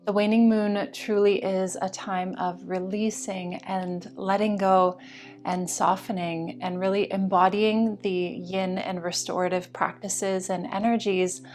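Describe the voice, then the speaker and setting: A woman yoga teacher guiding a meditation practice in a soothing, slow and deliberate way. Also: medium pitch, darkish tone. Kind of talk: guided meditation instruction. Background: music.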